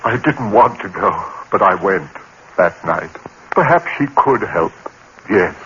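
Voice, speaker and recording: A man narrating a radio horror drama in the first person, frantic and haunted, with sudden jumps into high pitch. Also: lively intonation, a little slow, a bit bright. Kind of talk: 1930s radio horror acting. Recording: dull old radio broadcast with faint hiss.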